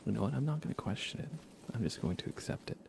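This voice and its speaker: A man doing soft-spoken ASMR live commentary as a video game streamer, hushed and at times slipping into whispers. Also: medium pitch, animated, fast, vocal fry, slight background noise.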